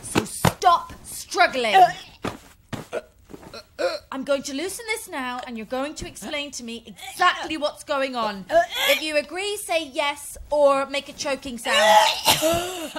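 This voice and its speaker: Prim flustered guest, indignant, higher expressive voice, faint noise, horror-comedy audio drama. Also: female voice, takes it slowly, pauses often, full character voice. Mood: indignant, threatening. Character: flustered, indignant English woman, romance novelist, reluctant guest.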